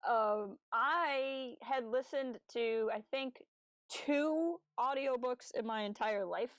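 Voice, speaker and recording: A woman's voice: a little high, slow pace, no room sound. A young adult woman co-hosting a podcast, talking informally and with some self-deprecation in casual discussion. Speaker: podcast co-host.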